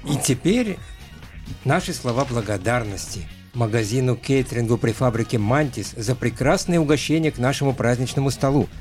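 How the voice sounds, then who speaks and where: Male radio announcer, average pitch, sponsor-read delivery, music bed behind. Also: lively intonation, normal speed, a little mellow. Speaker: radio co-host. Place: radio studio.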